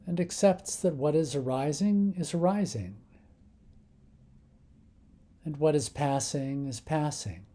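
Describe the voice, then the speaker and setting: A man leading a guided meditation in a calm, unhurried manner, leaving long pauses between short phrases. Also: on the high side.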